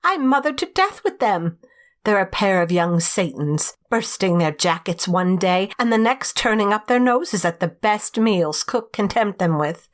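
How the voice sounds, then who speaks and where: A woman's voice: mid-range voice, lightly acted. A middle-aged woman playing a fussing housekeeper who talks in an exasperated, gossipy way. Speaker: Mrs Medlock.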